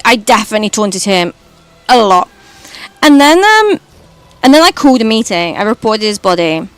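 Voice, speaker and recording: A British woman with a high voice, streaming and chatting casually while gaming, rambling and swearing now and then. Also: clear, resonant tone, no echo. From Britain.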